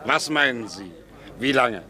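An actor playing an old newspaper journalist who is sly and gossipy in a classic radio drama. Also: male voice, medium pitch, expressive, measured pace, bright, forceful tone. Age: older.

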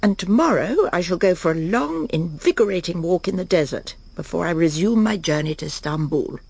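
An actress playing a prim, self-satisfied, controlling middle-aged English wife in a radio drama, brisk and genteel. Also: female voice, fairly low pitch, animated, vocal fry, darkish tone. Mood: uneasy musing. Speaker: lead actress and narrator. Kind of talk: radio drama acting with inner monologue.

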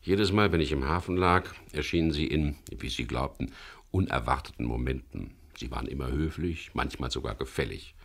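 An actor playing a wry, world-weary middle-aged English skipper who narrates a radio crime drama in a gravelly, laconic voice. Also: male voice, very deep voice, brisk, heavily acted. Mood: wry, reflective. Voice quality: gravelly, husky. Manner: laconic, dry, ironic.

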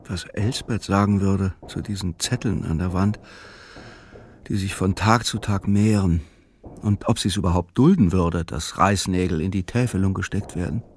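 A man acting as the pensive narrator of a literary radio play, voicing a solitary widower's thoughts in a dry, deliberate way. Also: low-pitched, a little mellow, a little hiss or hum, natural voice.

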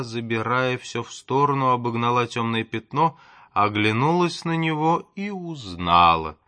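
Male audiobook narrator, expressive storybook reading, crisp forward brightness, varied dramatic stresses, very dry recording. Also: medium pitch, no echo.

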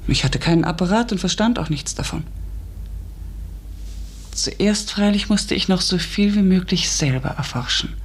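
Husky, earnest, curious woman. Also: lowish voice, animated, normal speed, pauses often. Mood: reflective, ambitious. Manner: earnest, reflective, politely hesitant. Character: eager, curious, ambitious woman narrating her strange discovery. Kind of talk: literary radio play narration and dialogue.

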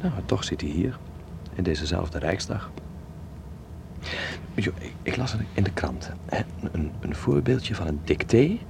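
Low, gravelly young Dutchman. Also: lively intonation, unhurried, pauses often, noisy background, heavily acted. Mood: grave, troubled. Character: thoughtful young Dutch dancer living in 1930s Berlin. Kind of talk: radio drama acting, conversational and reflective.

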